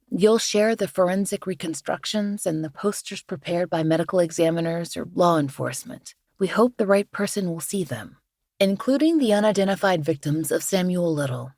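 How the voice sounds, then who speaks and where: A woman hosting a true-crime podcast in measured, earnest scripted narration, her voice a little scratchy from the flu. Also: on the low side, a little mellow, no echo, clean studio sound. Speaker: podcast host.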